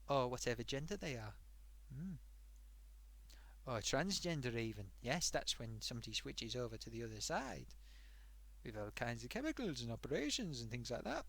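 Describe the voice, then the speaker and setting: A man's voice: medium pitch, fairly bright tone, no room sound. A man voicing a chatty sock puppet host who rambles off topic and talks to viewers, his throat getting sore. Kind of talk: rambling comedic live-stream monologue.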